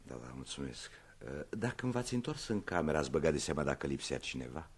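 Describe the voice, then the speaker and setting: Police commissioner, detective radio comedy. Very low, animated delivery; deliberate, courteous, keeps pressing. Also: male voice, breathy edge, heavily acted. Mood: probing. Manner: deliberate, polite, hesitant questioning. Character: probing, methodical male police commissioner, courteous but insistent.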